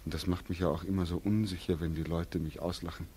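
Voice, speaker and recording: An actor playing a weary, self-conscious returning soldier with a lame leg in a 1940s German radio play. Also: male voice, sits low, little pitch movement, fast, warm tone, slight background noise, natural voice. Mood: dejected. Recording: vintage 1940s radio recording with music.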